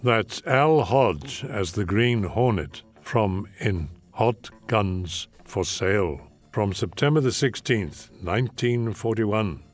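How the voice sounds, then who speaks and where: Slow, low-voiced male radio host. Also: expressive. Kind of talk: scripted announcing. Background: faint music.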